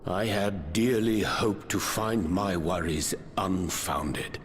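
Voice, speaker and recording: An actor playing a grave, courtly scholar-warrior in a fantasy video game, speaking in formal, archaic phrases with a solemn, measured manner. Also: male voice, low voice, reverberant, in a large hall, a little hiss or hum. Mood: solemn, resolute.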